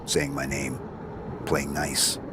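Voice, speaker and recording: A stoic soldier leader talks in an exceptionally deep voice for a video game. He is gruff and determined, keeping his phrases terse. Loud music runs behind him. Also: slow. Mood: stern, accusing. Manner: terse, flat commands and questions. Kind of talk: dramatic video game acting.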